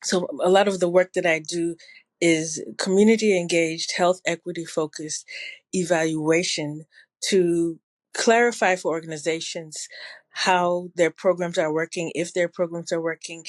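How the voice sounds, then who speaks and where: Measured woman guest, faint Ghanaian-tinged accent. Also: lowish voice, fairly even intonation, no echo. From New Jersey, family from Ghana. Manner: measured and thoughtful, with occasional restarts. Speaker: public health evaluator, podcast guest. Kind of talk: conversational interview answers.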